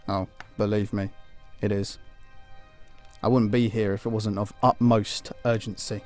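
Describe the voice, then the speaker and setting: An actor playing a grieving, vengeful miner and widowed father, bitter and seething, erupting into shouts in an audio drama. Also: on the low side, flat delivery, measured pace, soft background music, close to an everyday voice. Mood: restrained. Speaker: mine worker.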